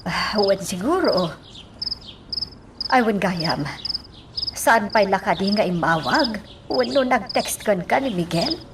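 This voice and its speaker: A woman's voice: mid-range voice, lively intonation, slow pace, pauses often, far from the mic, background noise. A woman with an Ilocano accent acting a worried, plaintive mother in a radio drama.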